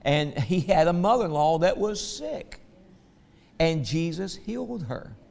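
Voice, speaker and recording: A man's voice: fairly high pitch, expressive, measured pace, vocal fry, darkish tone, in a large hall. A man with a Southern American accent preaching a sermon, folksy, checking in with the congregation with "okay?".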